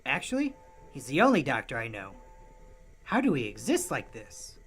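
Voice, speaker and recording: A woman's voice: extremely low pitch, wildly swooping pitch, normal speed, full character voice. A woman playing a shifty, dim, hard-up alien catcher in a comic radio play, hesitant, evasive and whiny. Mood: chatty, dim.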